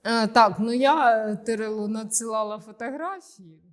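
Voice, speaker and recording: A woman in her forties from Ukraine answers questions in an interview. She speaks in a slightly high voice and pauses often with filler sounds. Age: 40s. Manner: hesitant, many filler sounds. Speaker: interview guest. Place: room in Kyiv.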